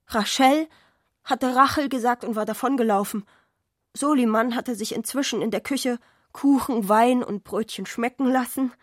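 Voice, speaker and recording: A woman's voice: fairly high pitch, no echo, studio quality. A woman narrating a literary story for a radio play in a scripted storytelling style.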